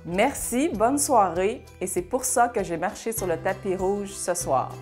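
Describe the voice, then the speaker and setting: A woman's voice: medium pitch, unhurried, darkish tone. A woman in her thirties with a Québécois accent, speaking earnestly as an award honoree, with frequent fillers. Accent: Québécois French. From Quebec. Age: 30s. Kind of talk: prepared testimonial. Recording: music bed behind the voice.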